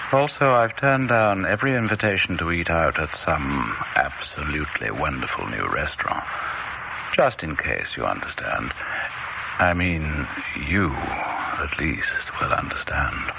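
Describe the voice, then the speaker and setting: A man narrating a radio drama in a measured, grave manner, on a dull, noisy old radio recording. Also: low-pitched, lively intonation, creaky.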